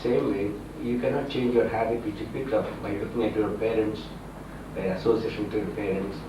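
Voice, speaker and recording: Echoey, distant Indian swami preaching. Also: male voice, fairly low pitch, fairly even intonation. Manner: didactic, halting phrasing with simple explanations. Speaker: swami, spiritual teacher officiating a wedding. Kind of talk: religious discourse at a ceremony. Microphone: far from the speaker. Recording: very echoey room, distant mic, noisy.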